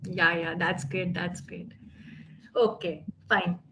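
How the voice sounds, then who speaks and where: A woman's voice: on the low side, fairly even intonation, takes it slowly, sharp tone. A woman from India with an Indian English accent, tutoring English on a video call and encouraging her student with repeated "okay" and "that's great". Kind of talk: tutoring session.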